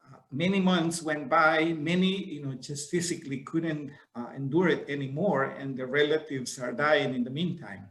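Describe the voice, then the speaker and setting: Halting man speaking in an echoey room. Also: on the high side, fairly bright tone. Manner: halting, many fillers. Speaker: activist guest speaker. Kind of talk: informal talk to an audience.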